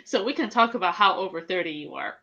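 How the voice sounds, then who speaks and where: A woman in her late thirties, co-hosting a casual chat podcast over a video call, candid and quick to laugh. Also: fairly low pitch, animated, bright, forceful tone. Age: late 30s. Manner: candid, laughing, swears freely. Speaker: podcast co-host. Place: home room at night. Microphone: video call webcam. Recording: dull lo-fi webcam audio.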